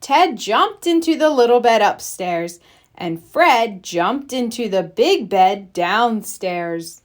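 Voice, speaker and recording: A woman reading a bedtime picture book aloud for young children in a deliberate, expressive storytelling manner. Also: mid-range voice.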